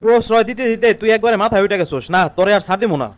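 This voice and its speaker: A man's voice: high-pitched, very fast, heavy background noise, natural voice. A man voicing a put-upon, exasperated young cartoon husband with a protesting manner and a Bangladeshi accent. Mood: angry. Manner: protesting, complaining. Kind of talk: comedy cartoon dialogue.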